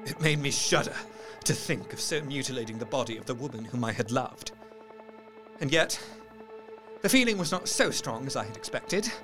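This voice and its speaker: An actor playing a troubled, sceptical gentleman doctor, narrating his diary in intense, formal literary diction for a gothic drama. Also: medium pitch, lively intonation, moderate pace, natural voice. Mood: shaken, reluctant. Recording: music under the voice. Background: music.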